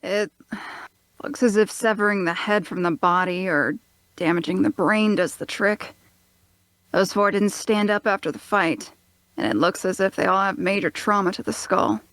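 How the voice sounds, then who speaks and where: A woman's voice: mid-range voice, normal speed, a bit bright, no room sound, close to an everyday voice. A woman playing a blunt, observant, hard-nosed mercenary in a fantasy audio drama. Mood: analytical. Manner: blunt, matter-of-fact.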